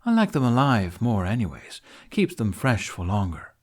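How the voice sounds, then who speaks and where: A man's voice: on the low side, big pitch swings, moderate pace. A man voicing a mischievous male winter spirit who drawls and teases, in a read-aloud story. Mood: teasing. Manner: drawling, teasing.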